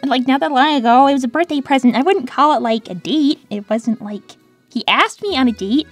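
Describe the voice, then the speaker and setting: A woman's voice: sits high, brisk, dry recording, soft background music. A woman voicing a young female cartoon hedgehog, peppy and touchy, with a sarcastic, girlish manner. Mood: flustered. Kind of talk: cartoon character answering fan questions.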